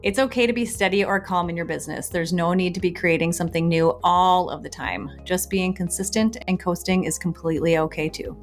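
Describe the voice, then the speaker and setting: Calm low-voiced woman podcast co-host. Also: fairly quick, few pauses, faint music behind. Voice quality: calm, soothing voice. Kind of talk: rapid-fire podcast tips.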